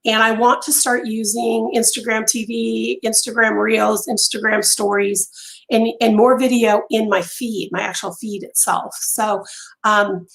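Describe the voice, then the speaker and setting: A woman hosting a casual crochet live stream from home, chatty with many ums and pauses, her voice going hoarse and interrupted by throat clearing. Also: on the high side, flat delivery, fairly bright tone, rough recording. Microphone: phone on a mount.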